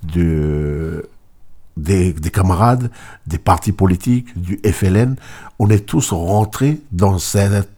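A man interviewing a guest, asking long questions in a halting way, often restarting his words. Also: sits low, warm tone. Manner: halting, restarts words, many backchannel mhms.

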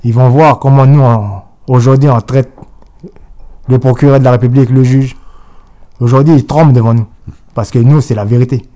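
Insistent man with a mellow voice. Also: medium pitch, steady tone, normal speed, pauses often. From New Caledonia. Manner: insistent, repetitive, restarts often. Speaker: guest. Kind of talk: political interview.

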